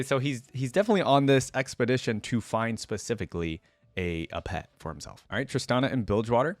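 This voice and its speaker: A man's voice: mid-range voice, dry recording. A man hosting a reaction video, switching between casual off-the-cuff commentary and reading text aloud.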